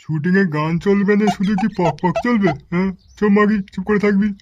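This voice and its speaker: A man's voice: high-pitched, steady tone, a little fast, dull audio, lightly acted. A man voicing a bossy, insulting gang leader in an amateur comedy cartoon. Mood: bossy, threatening. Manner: gruffly commanding, insulting. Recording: noisy amateur recording with clicks.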